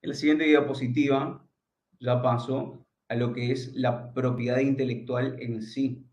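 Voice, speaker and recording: A man from Argentina with a northeastern Argentine accent, giving a lecture by video call as a lawyer, pausing often with fillers and restarting sentences. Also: mid-range voice, little pitch movement, a little mellow. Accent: northeastern Argentine Spanish, from the Paraguay border. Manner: hesitant, many "eh" fillers and restarts. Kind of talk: virtual conference talk. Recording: echoey room, dull lo-fi sound.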